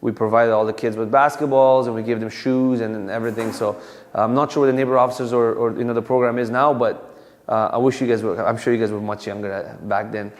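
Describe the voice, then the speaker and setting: A man's voice: mid-range voice, steady tone, fast talker. Man giving a community talk in a hall. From Afghanistan. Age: 30s. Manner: conversational, many fillers and restarts. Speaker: police officer. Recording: long hall reverb.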